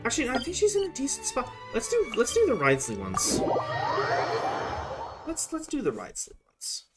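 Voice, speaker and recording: Lively, slangy young game streamer. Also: high-pitched, normal speed. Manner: slangy, profane, rambling to self. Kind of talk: casual gameplay commentary. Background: game music.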